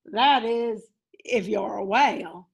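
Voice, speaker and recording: A woman voicing a huge, big-hearted male whale in a storybook, tender and helpless, with halting, heartfelt delivery. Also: a little high, gravelly, slightly rough, full character voice. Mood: wistful relief.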